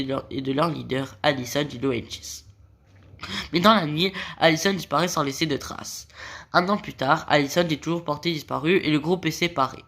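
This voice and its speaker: Gravelly-voiced man with a cold. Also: on the high side, fairly bright tone. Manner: reading a plot summary, stumbling and correcting himself. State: has a cold. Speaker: video creator. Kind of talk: series recap narration.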